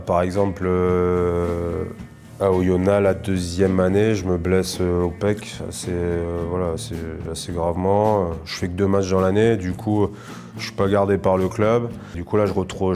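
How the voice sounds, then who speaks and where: Low, flat-voiced French rugby player. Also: male voice. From northern France. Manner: casual, many fillers and restarts. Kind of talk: relaxed interview answers.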